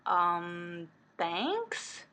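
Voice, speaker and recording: A woman's voice: lowish voice, huge pitch swings, drawn-out words, sharp tone, heavily acted. A woman voicing a flustered, protesting teenage schoolboy with an alarmed, exclaiming delivery in a book reading.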